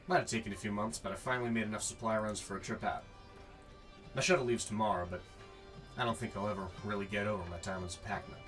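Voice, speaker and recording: A man's voice: on the low side, steady tone, fast, a bit bright, faint music behind, lightly acted. A man playing a weary, wry desert courier who narrates his own adventure in an audio drama. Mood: wry, reflective. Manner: wry, self-talking. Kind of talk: first-person narration and amateur audio drama acting.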